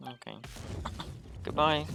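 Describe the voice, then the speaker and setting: A man's voice: takes it slowly, noisy background. A man giving casual commentary as he plays a video game, with game music behind him.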